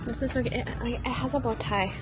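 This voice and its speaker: A young American woman with a slightly raspy voice, chatting casually and informally to camera as a lifestyle vlogger. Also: on the high side, steady tone, quick pace, over loud music, rough recording. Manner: informal, frequent "like" and "really".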